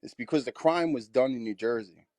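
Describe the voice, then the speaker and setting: A man's voice: medium pitch, background noise. A man calling in to a live stream, talking casually, restarting his sentences and repeating words. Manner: halting, repeats himself, friendly. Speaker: caller. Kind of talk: casual call-in chat.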